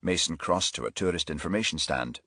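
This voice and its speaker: A man's voice: low-pitched, lively intonation, brisk, pushed and bright, no room sound, clean studio sound. A man reading a crime novel aloud as an audiobook narrator, in a scripted storytelling style.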